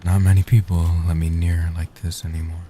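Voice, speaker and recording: Man playing a deep, soft-spoken gentle vampire guardian. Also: little pitch movement, a little hiss or hum, close to an everyday voice. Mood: intimate, grateful. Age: young adult. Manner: tender, reassuring, slow and deliberate. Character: gentle, devoted centuries-old male vampire guardian. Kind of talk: soft-spoken comfort roleplay audio.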